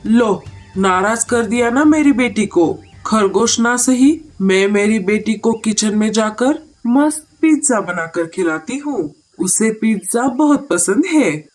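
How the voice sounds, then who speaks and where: A woman's voice: on the high side, lively intonation, slow. A woman voicing an indulgent mother bird queen in a cartoon story, coaxing and protective. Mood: doting. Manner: coaxing, protective of her child. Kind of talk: Hindi cartoon story acting.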